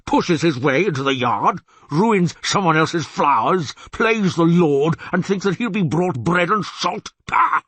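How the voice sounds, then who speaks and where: A man voicing a huge, blustering, irascible bear-headed monster in a gruff, raspy storybook reading. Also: a little high, expressive, measured pace, few pauses, fairly bright tone, dry recording, voice pushed up, full character voice. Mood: indignant. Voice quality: raspy, roaring. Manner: blustering, indignant, theatrical.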